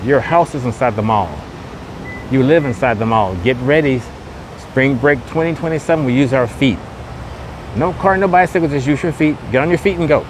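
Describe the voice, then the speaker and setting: A man's voice: medium pitch, normal speed. A man hosts a casual walking tour podcast, repeating his points in tour-guide patter. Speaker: podcast host and vlogger. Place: outdoors on a windy street at a shopping mall. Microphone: camera microphone with a wind guard. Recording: windy outdoor street noise.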